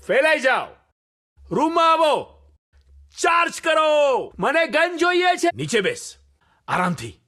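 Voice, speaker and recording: A man acting high-pitched, forceful squad soldier. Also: heavily acted. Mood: tactical, controlled. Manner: clipped, commanding, forceful. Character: urgent male squad soldier calling out battle commands. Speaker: game voice pack performer. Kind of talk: short shouted military game callouts.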